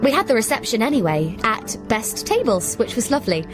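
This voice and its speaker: An actress playing a plucky, puzzled heroine who narrates a surreal comic radio drama in a wry, earnest way. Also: female voice, on the high side, very animated, a little fast. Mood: wry, reflective. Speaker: lead actor and narrator. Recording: music behind the voice.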